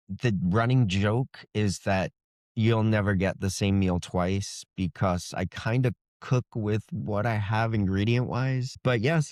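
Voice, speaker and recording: Male author, low pitch, dry close sound, easygoing conversational interview delivery. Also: darkish tone, dry recording. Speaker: fantasy author being interviewed.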